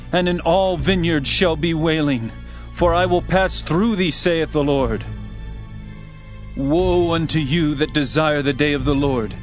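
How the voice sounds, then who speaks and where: A man reads scripture as a dramatized narration. His voice sits a little high for a man, and his delivery is solemn and declamatory. Music plays clearly behind him. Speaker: Bible narrator. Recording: music under the voice. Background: background music.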